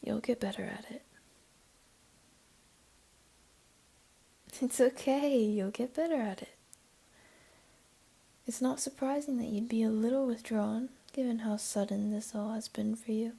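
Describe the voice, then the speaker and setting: A woman voicing a flirty, teasing, comforting jaguar beastling in an intimate ASMR roleplay, speaking softly and coaxingly in a half-whisper. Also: a little high, fairly even intonation, normal speed, creaky, slightly rough. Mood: reassuring, teasing.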